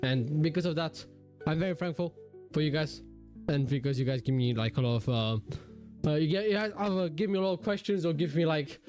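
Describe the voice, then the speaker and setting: A man's voice: on the high side, expressive, fairly quick, a little mellow. A young man with a cold, narrating his drawing video casually, rambling with many fillers and restarts. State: has a cold, voice deeper than usual. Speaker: digital art YouTuber. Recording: faint guitar music behind. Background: faint guitar music.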